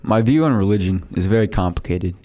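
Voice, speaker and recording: A man playing a proud inventor, deep voice. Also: animated, a little fast, dark tone. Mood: matter-of-fact. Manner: reading a prepared script aloud. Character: boastful male inventor of the 1800s. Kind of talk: school podcast role-play.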